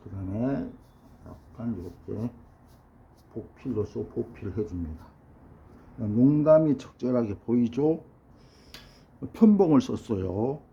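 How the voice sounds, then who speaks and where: A hoarse, middle-aged man with a cold gives a measured ink-painting lesson while he paints. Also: medium pitch, expressive, warm tone. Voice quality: gravelly. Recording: dull lo-fi sound with faint noise.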